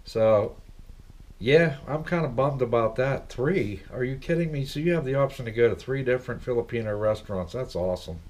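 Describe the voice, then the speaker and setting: A man's voice: medium pitch, brisk, darkish tone. A middle-aged man hosting a casual vlog from his living room, chatting and rambling with lots of questions for his viewers. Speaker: vlog host. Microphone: laptop webcam, seated at desk.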